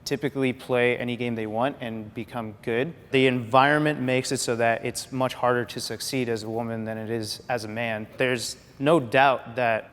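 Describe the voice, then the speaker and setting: A man of middle pitch speaking frankly and thoughtfully, with casual swearing, in a relaxed group conversation. His voice rings with a long reverb from a large room. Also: normal speed, hardly any pauses. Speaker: pro gamer.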